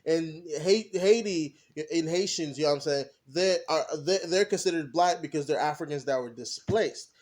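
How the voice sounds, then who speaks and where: A young man co-hosting a podcast, talking casually and haltingly, often stopping to search for his words. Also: on the high side, darkish tone. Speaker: podcast co-host. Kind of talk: casual discussion.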